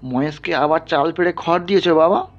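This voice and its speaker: A man's voice: on the high side, normal speed, highs cut off, natural voice. A man voicing a caring, dutiful ten-year-old village girl in an audio story, earnest, questioning and anxious. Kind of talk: dramatized literary audio story. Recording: band-limited, music underneath.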